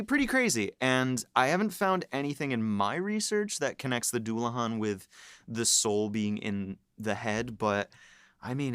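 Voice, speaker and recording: Male writer-host, mid-range pitch, smooth clear tone, dry close sound, chatty and animated with fillers. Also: no echo. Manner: animated, lots of fillers, laughs while explaining. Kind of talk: casual behind-the-scenes chat.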